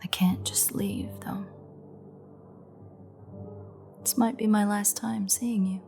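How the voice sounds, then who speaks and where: A woman playing a menacing, teasing vampire in an intimate audio drama monologue, her breathy voice often dropping to a near-whisper as she taunts. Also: medium pitch, flat delivery, dark tone, faint music behind, natural voice. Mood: wry, wistful. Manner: slow, taunting, drawling. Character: menacing, teasing female vampire gloating over captive hunter.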